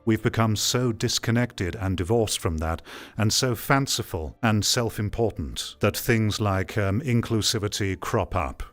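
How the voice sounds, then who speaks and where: A man's voice: on the low side, unhurried. A man giving blunt, opinionated live commentary as a streamer, ranting and sometimes swearing.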